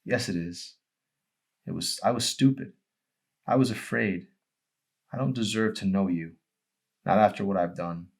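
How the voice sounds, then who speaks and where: A man voicing a tormented, withdrawn young man in an audiobook, halting and anguished with guilt. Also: lowish voice, vocal fry, slightly rough, heavily acted. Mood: guilty.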